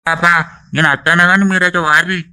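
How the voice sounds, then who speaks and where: A man plays a village brother-in-law in a family drama, with a very bright, forceful, fairly high voice. He banters and complains teasingly in a Telangana accent. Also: level tone, quick pace, clean tone. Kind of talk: rural family drama acting.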